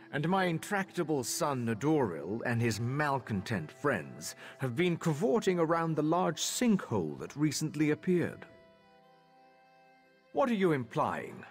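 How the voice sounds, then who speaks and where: Stern elf official for a fantasy game: formal, disdainful, mid-pitched, widely varied intonation. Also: male voice. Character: stern, haughty elven magistrate, father of a rebel. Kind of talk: video game character dialogue.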